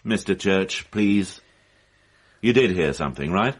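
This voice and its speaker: A man's voice: sits low, lively intonation, measured pace. An actor playing a shrewd police inspector in a radio drama, courteous, teasing and sardonic as he probes. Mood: polite, probing. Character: wry, shrewd male police inspector, politely probing.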